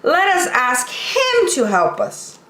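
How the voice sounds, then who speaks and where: A woman's voice: high voice, slow, reverberant, background noise, pitched above the performer's own voice, full character voice. A woman voicing an eager, pleading little toy clown in a children's storybook. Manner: excited, pleading. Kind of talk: children's storybook read-aloud.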